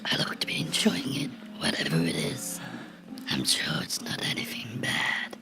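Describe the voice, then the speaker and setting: A man playing a vengeful cartoon gnome come to life, menacing and mocking in a breathy, gravelly whisper for a horror ASMR role-play. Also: low-pitched, huge pitch swings, over music, full character voice. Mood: mocking menace. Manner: slow, deliberate, sinisterly playful, taunting.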